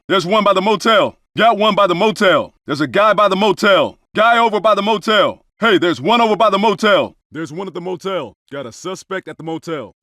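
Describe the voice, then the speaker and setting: Game undercover cop: alert and clipped, higher-pitched man's voice, terse combat callouts, very dry recording. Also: sharp tone, dry recording. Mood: low, guarded. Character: gruff, alert male undercover cop in gunfight. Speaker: video game player character. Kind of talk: short tactical callouts.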